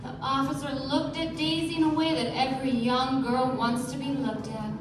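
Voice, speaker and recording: Woman as high-voiced young socialite, echoing. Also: fairly even intonation, moderate pace, natural voice. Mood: dramatic storytelling. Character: poised young socialite woman recounting gossip. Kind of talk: dramatic live radio play narration. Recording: echoey stage, distant mic, music behind.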